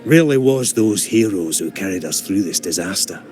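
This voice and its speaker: An actor voicing a friendly older dockhand in a video game, with a folksy, homespun way of talking. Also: male voice, low voice, animated, normal speed, darkish tone. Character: friendly older male dockhand who calls the hero lad. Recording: game audio with music underneath.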